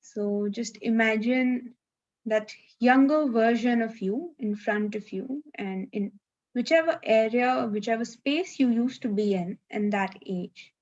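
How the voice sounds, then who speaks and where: A woman leading a live guided meditation, giving gentle, unhurried instructions with long pauses, over a video call. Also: a little high, muffled recording.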